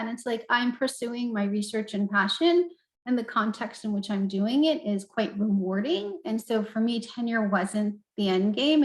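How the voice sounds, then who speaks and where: A middle-aged woman from Turkey, a professor answering interview questions in a thoughtful, measured way with frequent pauses. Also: on the high side. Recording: roomy echo.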